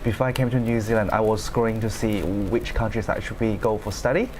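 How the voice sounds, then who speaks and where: A man's voice: lowish voice, brisk, noisy background. Earnest young man from China. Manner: earnest, steady, with small grammar slips. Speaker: law student panelist. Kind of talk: panel discussion answers.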